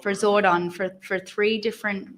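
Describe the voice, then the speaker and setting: An Australian woman talks in a loose, chatty way, with plenty of fillers and easy laughter. Her voice sits at an ordinary middle pitch. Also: steady tone, moderate pace, poor audio. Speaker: actor guest at a fan convention panel. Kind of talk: casual panel chat.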